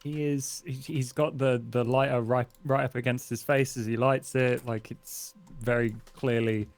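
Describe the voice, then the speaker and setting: A man playing a tabletop game, describing his character in casual, halting speech that repeats words. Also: mid-range voice, little pitch movement.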